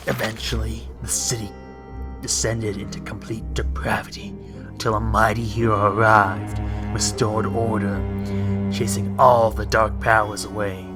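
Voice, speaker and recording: A man with a fairly low voice plays through a story game for an audience. He reads the text aloud and drifts into rambling, joking asides, with music clearly playing behind him. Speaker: let's play host. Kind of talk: let's play commentary, reading game text aloud.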